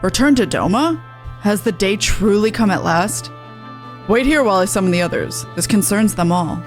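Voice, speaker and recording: A woman reading the game dialogue of an earnest, courteous male refugee leader in a formal, measured manner. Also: mid-range voice, animated, faint music behind, lightly acted. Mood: surprised, moved.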